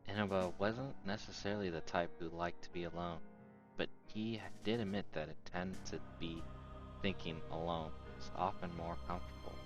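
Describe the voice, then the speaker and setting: A man with a deep voice reads scripted narration from a visual novel aloud. Music plays clearly underneath his voice. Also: fairly even intonation, normal speed. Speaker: narrator reading translated game text aloud.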